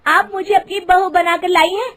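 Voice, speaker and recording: A woman voicing a discontented, ambitious young village daughter-in-law in an animated moral story, insistent and complaining. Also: extremely high pitch, moderate pace. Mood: irritated, defiant.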